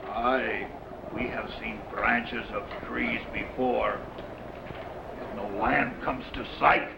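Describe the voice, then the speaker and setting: A man's voice: lowish voice, steady tone, takes it slowly, creaky, heavily acted. A man playing a bitter, superstitious, mutinous sailor with sneering, ominous, melodramatic old-time radio acting. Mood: bitter, doubtful. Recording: dull, noisy vintage radio recording.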